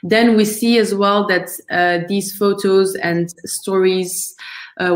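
A woman's voice: mid-range voice, echoey room. A woman founder presenting her project on a video call, earnest and thoughtful, with frequent ums and restarts. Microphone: video call webcam.

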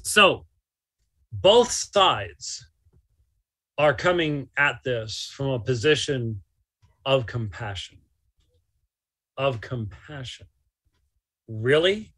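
A man's voice: mid-range voice, animated, extremely slow, long pauses, a bit bright. A man in his fifties giving live religious commentary, emphatic and incredulous, repeating phrases for stress. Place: small motorhome interior.

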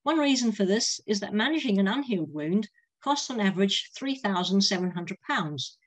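An older woman with a New Zealand accent, a nurse giving a steady talk from slides over a video call from home. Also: mid-range voice, normal speed. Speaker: consultant nurse presenter. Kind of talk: presenting a lecture. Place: room at home. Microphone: laptop webcam on a video call.

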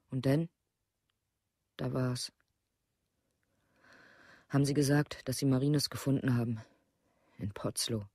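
Casting: bereaved protective parent, mid-pitched, dry studio sound, plain-spoken, radio drama. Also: male voice, darkish tone, no echo. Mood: subdued. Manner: plain, short sentences. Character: grieving, protective parent of a murdered boy. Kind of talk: documentary radio play monologue.

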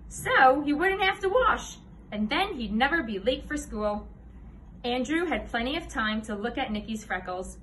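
A woman in her thirties reads a children's storybook aloud in an expressive, clearly phrased storytelling manner, like a teacher. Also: a little high, slight background noise, low-quality recording. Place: indoor classroom. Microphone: camera or phone a few steps away.

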